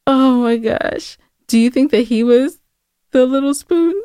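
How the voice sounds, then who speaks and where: Clear-voiced woman podcast co-host. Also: high-pitched, unhurried, mellow, no room sound, clean studio sound. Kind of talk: casual banter and listener announcements.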